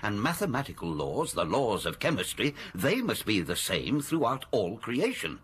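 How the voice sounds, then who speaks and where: A man's voice: on the low side, huge pitch swings, a bit bright. An actor playing a learned, earnest male space scientist in a science-fiction radio drama, explaining things patiently and precisely. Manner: measured, precise, lecturing.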